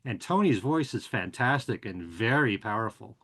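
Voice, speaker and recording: A man's voice: lowish voice, normal speed. Chatty man hosting a podcast. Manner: chatty, relaxed, with frequent "uh" fillers. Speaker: podcast and radio host. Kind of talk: casual show announcements.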